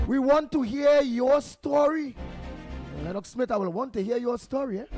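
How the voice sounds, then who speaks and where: Hoarse, high-voiced Trinidadian radio host. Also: male voice. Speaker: radio talk show host. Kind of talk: call-in radio hosting.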